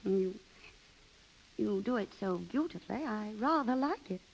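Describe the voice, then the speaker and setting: An actress playing a vain, flirtatious, high-strung wife in a 1930s radio drama, with a theatrical, emotional manner. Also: female voice, lively intonation, normal speed, lo-fi sound, a little hiss or hum, close to an everyday voice. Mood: coy, flirtatious. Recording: 1930s radio broadcast recording.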